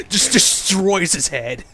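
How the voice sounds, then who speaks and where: A man co-hosting with casual comic banter, giggly and excitable as he heckles and acts out jokes. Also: high voice, slow, crowd noise. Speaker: co-host heckling the reading.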